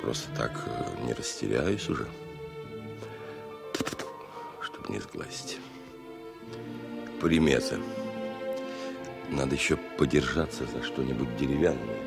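An actor playing a seasoned, stubborn airline pilot in a radio play, musing to himself in a deliberate way. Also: male voice, extremely low pitch, vocal fry, loud music behind the voice, exaggerated voice. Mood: calm, musing. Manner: deliberate, musing, self-talking. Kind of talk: 1970 radio play monologue.

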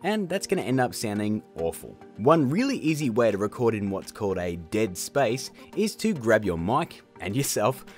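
A man hosting a casual software tutorial in a chatty, jokey way. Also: lowish voice, very animated, normal speed, few pauses, faint music behind. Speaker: tutorial host. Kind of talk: casual screen-recorded tutorial narration.

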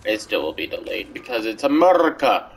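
A man's voice: fairly low pitch, very animated, a little fast, vocal fry, a little hiss or hum. A man streaming a video game, chatting casually and teasing his friend. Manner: playful, teasing, bursts of silly voices. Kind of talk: casual gaming chat with a friend.

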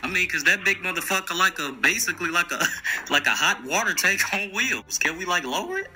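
Bright-voiced young man on a prank call. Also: high voice, lively intonation, brisk, a little hiss or hum, poor audio. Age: young adult. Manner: slangy, haggling, needling. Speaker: prank caller. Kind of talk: casual prank phone call. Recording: music behind the voice.

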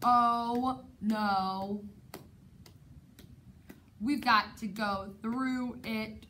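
A woman's voice: a little high, fairly even intonation, extremely slow, pushed and bright, a little hiss or hum. A young woman teacher reading a picture book aloud to children in a dramatic, sing-song way, recorded in a classroom from a camera a few metres away. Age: young adult. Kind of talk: children's storytime read-aloud. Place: indoor classroom, sitting on carpeted floor.

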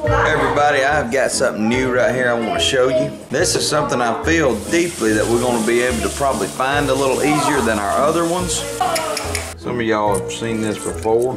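A man's voice: medium pitch, fairly quick. A man, the host's husband, bantering playfully and folksy in a home kitchen. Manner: playful, tall-tale teasing, folksy. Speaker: husband, co-host. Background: background music and sizzling.

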